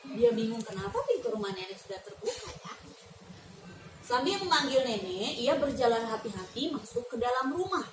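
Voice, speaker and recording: A young woman reading a fairy tale aloud to children, lively and often stopping to ask them questions. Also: on the high side, moderate pace. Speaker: student teacher. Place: classroom. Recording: roomy classroom from far off, background noise.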